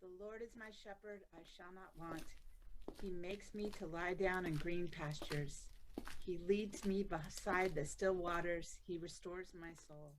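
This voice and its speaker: Female chaplain for radio drama, played by an actress: solemn, measured scripture recitation, slightly low pitch. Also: fairly even intonation, lightly acted, low-quality recording. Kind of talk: old-time radio drama acting.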